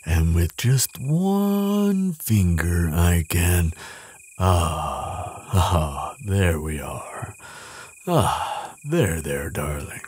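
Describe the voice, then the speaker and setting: A man as a deep, husky gentle giant. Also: sing-song, drawn-out words, full character voice. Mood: tender, soothing. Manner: tender, reassuring, polite, slightly hesitant. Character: gentle, bookish male giant, kindly and protective. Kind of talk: roleplay audio drama, speaking soothingly to the listener.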